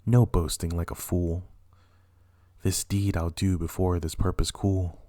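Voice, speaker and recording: A man's voice: low voice, level tone, a little slow, warm tone, no echo, clean studio sound. A man playing a tormented, imperious and ruthless king, declaiming weightily in a dramatic Shakespeare reading. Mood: grimly resolved.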